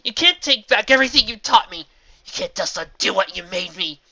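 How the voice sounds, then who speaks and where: Reader doing a high, shrill, fanatical young mare soldier, storybook audiobook, a bit lo-fi. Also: lively intonation, a little fast. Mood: fierce. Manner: shrill, desperate, often shouting.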